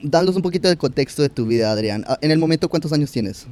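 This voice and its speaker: A young man hosting a podcast, chatty and firing quick follow-up questions in casual interview talk. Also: fairly high pitch, animated, darkish tone. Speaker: podcast host.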